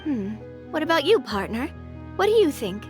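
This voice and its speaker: A woman voicing a theatrical, self-assured young female monster hunter in a video game, with a dramatic, expressive delivery. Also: high voice, normal speed. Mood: curious. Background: game music.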